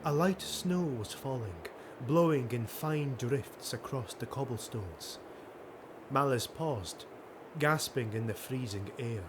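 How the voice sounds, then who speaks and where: A man's voice: mid-range voice, expressive, slow, noisy background. A man reading a fantasy audiobook as dramatic scripted narration.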